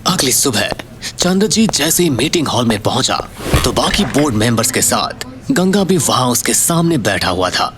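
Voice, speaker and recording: A man's voice: mid-range voice, sing-song, moderate pace, background noise. A man narrating an audio story in a scripted, dramatic style.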